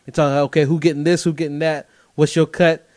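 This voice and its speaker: A male podcast host with a fairly high voice talks loosely with his guest and often restarts his words before a question comes out. His delivery is casual and full of street-style address. The mic is close and dry. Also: little pitch movement, quick pace, a little mellow, no echo. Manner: conversational, uses "bro", stammers restarts when starting questions.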